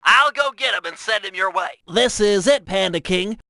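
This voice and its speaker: An extremely high, creaky young turtle brainiac from a cartoon game. He is nasal and precise, an earnest planner who explains everything in wordy technical terms. The sound is very sharp and forceful. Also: expressive, moderate pace, dry recording, clean studio sound, exaggerated voice. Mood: confident briefing. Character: nerdy, brainy young male turtle tech genius, earnest, excitable. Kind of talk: cartoon video game voice lines.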